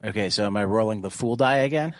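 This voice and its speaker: A man chatting casually as a player in a tabletop game, halting and repeating his words. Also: lowish voice, no echo, clean studio sound.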